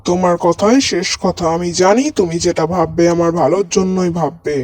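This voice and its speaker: High-pitched obedient grown son played by a man. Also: fairly even intonation, a little fast, a little mellow, no echo, lightly acted. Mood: submissive. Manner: deferential. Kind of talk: Bengali cartoon drama voice acting.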